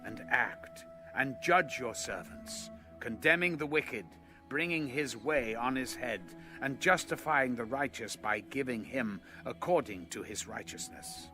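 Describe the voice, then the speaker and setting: A man narrating scripture from a script in a measured, solemn manner. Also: mid-range voice, animated. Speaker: audio Bible narrator. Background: music.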